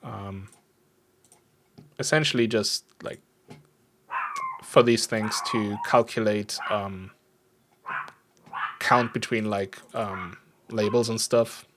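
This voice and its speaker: A man with a fairly low voice and a German accent streams a coding session. He talks in a casual, easygoing way, poking fun at himself as he explains what he is doing. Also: fairly even intonation, slow pace, pauses often, noisy background. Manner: easygoing, self-deprecating, chuckles often. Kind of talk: casual livestream chat while programming. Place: indoors at a computer desk.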